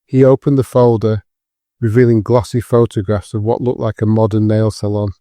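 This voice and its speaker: A man narrates a scripted drama in a mid-pitched, mellow voice. His delivery stays even and controlled. The sound is dry and close. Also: normal speed, no room sound.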